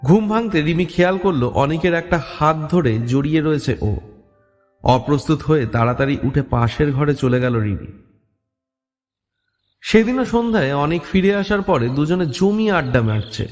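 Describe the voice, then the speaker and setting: A man's voice: on the high side, expressive, moderate pace, darkish tone, faint music behind. A man narrating a scripted horror story as a dramatic storyteller. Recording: long reverb.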